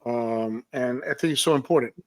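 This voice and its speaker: A man's voice: mid-range voice, moderate pace, darkish tone. A man hosting a casual interview show, emphatic, repeating his points and checking in with listeners. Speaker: show host.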